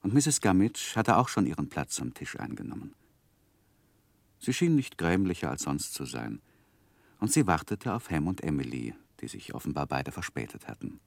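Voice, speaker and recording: An actor playing a grown man haunted by his past, narrating gravely and haltingly in a 1960s German radio drama. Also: rumbling low, very animated, no room sound, full character voice. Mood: grave, reflective.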